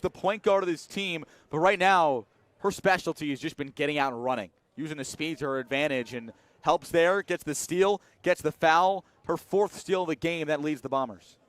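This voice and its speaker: Male color commentator, fairly high voice, live basketball analysis for radio. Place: college basketball arena, live courtside broadcast.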